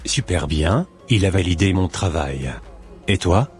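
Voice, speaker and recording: A man's voice: low-pitched, expressive, measured pace, soft background music. A man playing formal, self-assured men in a cartoon, with a stiff scripted delivery. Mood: self-satisfied. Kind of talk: animated cartoon dialogue.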